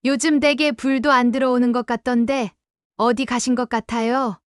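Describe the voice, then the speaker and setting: A talkative village shopkeeper with a high voice, played by a woman in a radio drama. She answers readily and chats freely. The recording is close and dry. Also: steady tone, normal speed, no room sound, close to an everyday voice. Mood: chatty. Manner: chatty and forthcoming. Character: middle-aged woman shopkeeper, chatty and helpful. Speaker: village shop owner. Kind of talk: audio drama acting.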